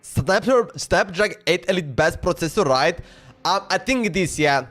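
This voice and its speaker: A man's voice: high voice, lively intonation, moderate pace, fairly bright tone, soft background music. A man streaming a game with casual, playful live commentary, often repeating his phrases.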